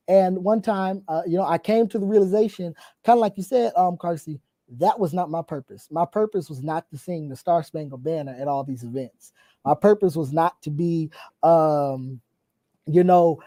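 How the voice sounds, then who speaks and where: Earnest young man, dark dry voice. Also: high-pitched, no room sound. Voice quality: rough voice. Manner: earnest, reflective, many ums. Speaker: church worship leader and singer. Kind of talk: casual panel chat.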